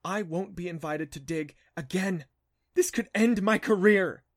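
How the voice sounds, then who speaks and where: A man's voice: high voice, measured pace, voice pushed up. A man voicing a stern, exasperated mother archaeologist who scolds her son. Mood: scolding. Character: stern worried mother archaeologist, middle-aged woman.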